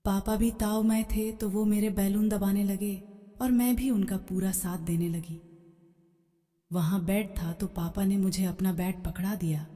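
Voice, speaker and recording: A woman's voice: medium pitch, fairly even intonation, a little slow, warm tone. A woman reading a scripted first-person story as its narrator. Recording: long hall-like reverb. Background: background music.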